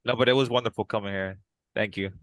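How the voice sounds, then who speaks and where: A man's voice: fairly low pitch, steady tone, fast, fairly bright tone, no echo, natural voice. A man playing an agreeable brother in a role-played therapy session, polite, brief and a little tentative. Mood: reassuring. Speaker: therapy client. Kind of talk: improvised role-play dialogue.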